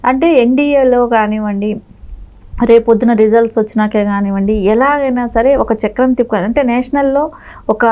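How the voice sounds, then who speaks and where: A woman's voice: on the high side, a little mellow. A woman speaks as a political spokesperson. Her commentary is conversational but emphatic, repeating points to insist on them. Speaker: political party spokesperson, interview guest.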